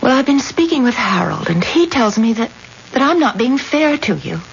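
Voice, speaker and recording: An actress playing a wealthy, devoted, forgiving wife in a radio drama, with earnest, halting, theatrical delivery. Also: female voice, a little high, very animated, vocal fry. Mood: hesitant, confessing. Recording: dull, hissy old radio recording.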